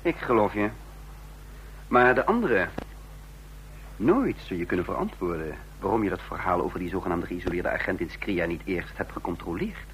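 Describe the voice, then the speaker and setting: Low, grave, commanding man. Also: lively intonation, fairly bright tone, slight background noise, low-quality recording. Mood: cold, stern. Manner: grave, deliberate, authoritative. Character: commanding intelligence chief, coldly furious, remorseful narrator. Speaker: narrator and lead character. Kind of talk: dramatic radio play acting.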